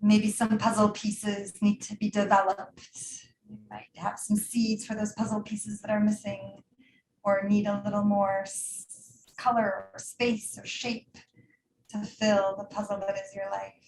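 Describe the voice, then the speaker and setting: Woman guiding meditation, flat and echoey. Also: medium pitch, noisy background. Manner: soothing, unhurried, trailing phrases with pauses. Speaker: yoga nidra meditation teacher. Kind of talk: guided meditation.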